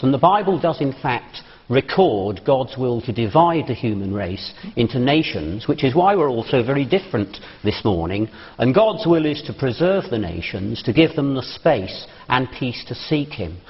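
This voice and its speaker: A man speaking as a party spokesman in a televised studio debate, measured and argumentative. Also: medium pitch, very animated, husky. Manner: measured, argumentative, laughs off challenges. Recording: dull TV audio in a reverberant studio.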